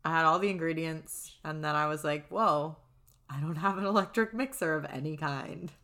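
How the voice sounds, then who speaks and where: A woman co-hosting a podcast in casual, excitable, chatty banter. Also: sits low, normal speed. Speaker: podcast co-host.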